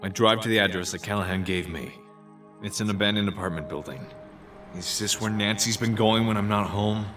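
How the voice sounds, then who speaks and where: A man playing a wary, low-key detective inspector in an audio crime drama, partly narrating his investigation. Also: brisk, over music, close to an everyday voice. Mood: pensive narration.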